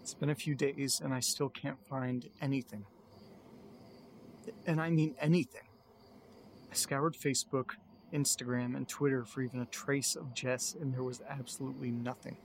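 An actor playing a worried, baffled young man in an audio drama, speaking haltingly as if thinking aloud. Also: medium pitch, fairly even intonation, a little hiss or hum, close to an everyday voice. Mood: troubled, baffled. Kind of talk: audio drama monologue, recorded-diary narration.

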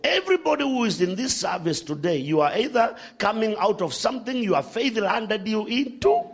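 A man preaching a sermon to a congregation, animated, swinging from shouts to hushed asides. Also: high voice, moderate pace, gravelly, husky.

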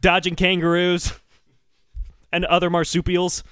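A man's voice: high voice, unhurried, clean tone, no room sound, clean studio sound. A man co-hosting a comedic podcast in casual chat, joking sarcastically and swearing freely. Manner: sarcastic joking, animated, profane. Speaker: podcast co-host.

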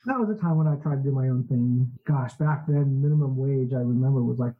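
Man, 40s, from Southern California; relaxed storyteller with a breathy edge, slightly dull recording. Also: medium pitch, fairly quick. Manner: relaxed storytelling, many fillers. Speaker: podcast guest, entrepreneur. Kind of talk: casual interview chat.

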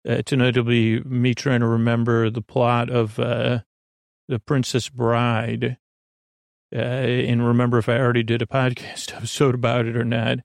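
A man hosting a sleep podcast in a rambling, halting monologue that drifts off into tangents and questions to himself. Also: medium pitch, monotone, creaky, no echo, studio quality. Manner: meandering, halting, trails off into tangents and self-questioning. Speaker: podcast host.

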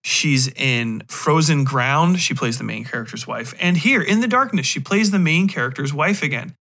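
A man's voice: a little high, lively intonation, normal speed, sharp tone. A man, thirties or older, hosting a podcast in a casual, rambling monologue, cutting himself off with wry, sometimes profane asides. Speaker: podcast host.

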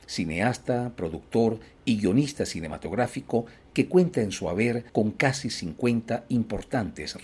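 A man hosting an interview, speaking in a measured way with frequent hesitations. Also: on the low side, darkish tone, husky. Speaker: podcast host. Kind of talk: hosted interview.

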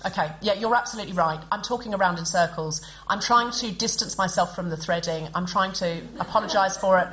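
A woman's voice: mid-range voice, a little fast, few pauses, a bit bright. A woman hosting a comedy podcast before a live audience, chatty and self-mocking.

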